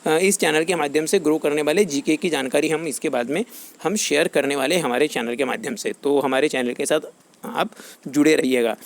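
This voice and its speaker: Higher-pitched man explaining to viewers. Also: a little fast, gravelly. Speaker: YouTube channel host. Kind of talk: informal explainer addressed to viewers.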